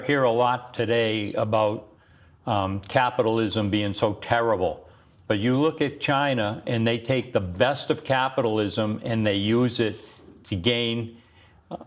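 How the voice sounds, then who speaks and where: A man hosting a local community TV talk show, plainspoken and hesitant, with frequent ums. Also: medium pitch. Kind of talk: casual political talk show.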